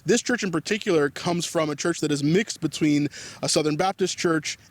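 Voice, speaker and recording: A man's voice: fairly high pitch, normal speed. Chatty man hosting a vlog. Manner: chatty, with frequent "like" fillers. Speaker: vlog host. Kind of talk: casual explanatory vlog talk.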